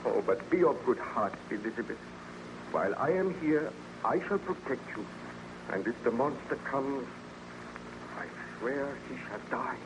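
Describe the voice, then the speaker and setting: A man's voice: medium pitch, expressive, measured pace. An actor playing a haunted, fearful young nobleman in an old-time radio drama, speaking in a hesitant, melodramatic, evasive way. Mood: fearful, agitated. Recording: noisy, muffled old-time radio recording.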